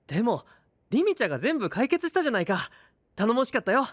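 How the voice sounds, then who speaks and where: A man's voice: extremely high pitch, big pitch swings, fast, no echo, studio quality, heavily acted. A man playing a kind, dependable young knight leader in an audio drama, speaking sincerely. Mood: warm, encouraging. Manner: sincere, clear, encouraging. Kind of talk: anime-style audio drama acting.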